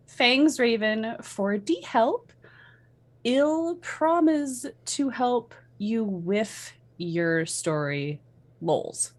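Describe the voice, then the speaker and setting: A woman doing a brash, halting dramatic reading, breaking off for asides. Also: a little high. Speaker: guest reader in a group reading.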